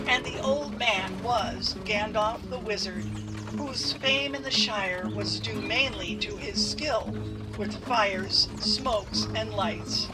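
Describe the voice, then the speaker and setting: Slow, measured woman storyteller over music. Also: mid-range voice. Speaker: narrator. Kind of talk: scripted storybook narration. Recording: loud music behind the voice.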